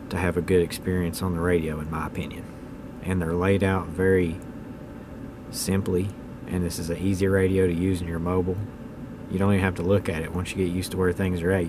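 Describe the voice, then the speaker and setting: A man's voice: sits low, noisy background. A man in his thirties giving a casual, unscripted hobbyist video talk in a plainspoken, opinionated, folksy way. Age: 30s. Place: indoors at home.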